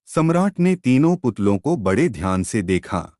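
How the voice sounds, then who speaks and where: A man narrating a scripted folk tale as a storyteller, in a measured, expressive audiobook manner. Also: medium pitch, dry recording, clean studio sound.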